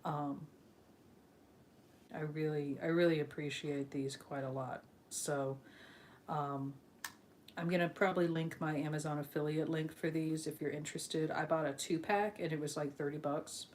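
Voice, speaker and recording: A woman's voice: low voice, steady tone, moderate pace, darkish tone, slight background noise. A woman chatting casually on a live stream as she sets up, rambling with frequent ums, a little sniffly from allergies.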